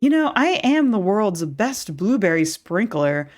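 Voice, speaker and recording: A woman's voice: mid-range voice, sing-song, unhurried, soft background music. A woman reading the lines of an upbeat, corny suburban dad in a game, in a cheerful, dad-joke delivery.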